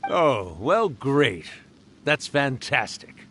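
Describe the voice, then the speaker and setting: An ancient kitsune spirit from a video game, smug and playful. His delivery swings widely in pitch, theatrical and teasing, like a born storyteller showing off. There is faint noise behind him. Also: sing-song, drawn-out words, a bit bright, exaggerated voice. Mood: fond, cheerful. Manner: theatrical, self-satisfied, teasing. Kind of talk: scripted video game voice acting.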